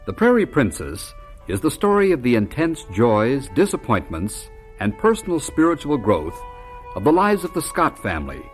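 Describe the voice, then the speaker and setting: A man's voice: mid-range voice, huge pitch swings, moderate pace, soft background music. A man announcing a vintage radio drama in a formal, scripted narration style.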